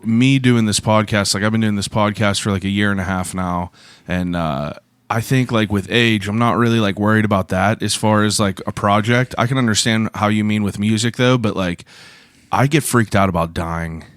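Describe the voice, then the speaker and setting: A man's voice: on the low side, moderate pace, smooth, no room sound, clean studio sound. A man in his late twenties hosting a podcast, talking in a laid-back way, swearing freely and filling gaps with "like". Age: 20s. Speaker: podcast host. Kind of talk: casual interview chat.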